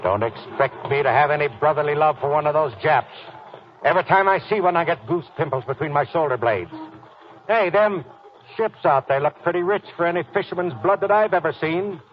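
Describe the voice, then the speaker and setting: An American actor playing a stubborn, suspicious middle-aged ex-Marine with blunt, folksy slang in a 1940s radio drama. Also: male voice, a little high, a little fast, close to an everyday voice. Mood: suspicious, gruff. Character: gruff, stubborn, suspicious retired Marine family man. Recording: dull, noisy 1940s radio recording.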